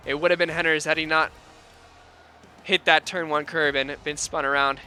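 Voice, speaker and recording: Male sim racing host, bright tone, strained sore voice, faint music bed under him. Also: fairly high pitch, moderate pace. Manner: energetic, upbeat, folksy. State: voice sore, losing his voice. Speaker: race commentator and host.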